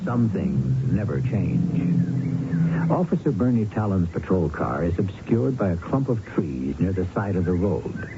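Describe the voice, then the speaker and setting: An American man hosting and narrating a radio mystery drama in a measured, deliberate, theatrical way. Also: low-pitched, sing-song, dull audio, heavily acted. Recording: band-limited old radio broadcast, music behind. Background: loud music.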